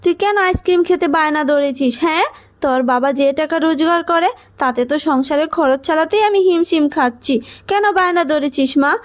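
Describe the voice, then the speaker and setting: Woman playing a fussy loving animal mama in a kids cartoon, pretty high voice. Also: a little fast, natural voice. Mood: exasperated. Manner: fretful, motherly. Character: doting, fretful animal mother in a fable cartoon. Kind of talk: children's cartoon voice acting.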